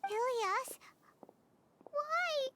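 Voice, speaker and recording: A woman's voice: very high voice, animated, extremely slow, background noise, exaggerated voice. A woman voicing a tiny childlike game companion, earnest and indignant, pleading in exclamations. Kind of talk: video game voice acting. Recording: game audio with loud music.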